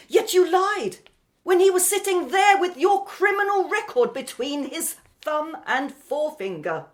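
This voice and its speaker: A woman's voice: extremely high pitch, big pitch swings, measured pace, exaggerated voice. A woman playing a bitter, scornful young woman in a radio drama, accusing and emphatic in her delivery. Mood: furious accusing.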